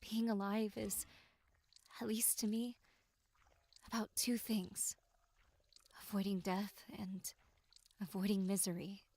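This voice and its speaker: Woman acting a slow, despairing tormented victim. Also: medium pitch, flat delivery, darkish tone. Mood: bleak resignation. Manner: slow, breathy delivery slipping into whispers. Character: tormented, despairing young victim musing bleakly on existence. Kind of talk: dramatic first-person horror game monologue.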